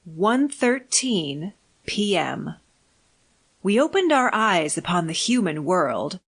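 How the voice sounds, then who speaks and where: A woman narrating a fiction audiobook in a measured, deliberate, scripted reading. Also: lowish voice, a bit bright.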